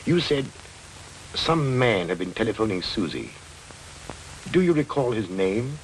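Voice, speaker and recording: A dogged, wry 1940s detective. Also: male voice, fairly low pitch, big pitch swings, unhurried, a little hiss or hum, low-quality recording. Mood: calm. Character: dogged middle-aged male investigator, wry and persistent. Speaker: detective narrator. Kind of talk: 1940s film noir narration.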